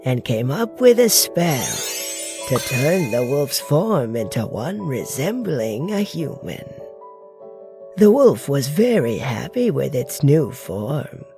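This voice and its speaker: An actor playing a calm storyteller in a comic dub, telling children a legend in a measured, expressive way. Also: medium pitch, creaky, full character voice. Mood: gentle storytelling. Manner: measured, unhurried, expressive delivery. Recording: music behind the voice.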